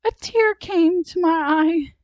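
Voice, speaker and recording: A woman's voice: very high-pitched, takes it slowly, a little mellow, no echo, lo-fi sound, voice pushed up. A woman voicing a stubborn, lovestruck, dramatic little girl in a storybook, speaking insistently. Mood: tearful, dramatic. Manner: insistent, emphatic.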